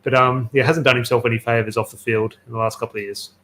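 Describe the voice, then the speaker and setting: A man's voice: mid-range voice, little pitch movement, fast talker, a bit bright, a little hiss or hum, poor audio. A man hosting a casual football podcast, chatty and enthusiastic, telling stories with plenty of fillers. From Australia. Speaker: podcast host.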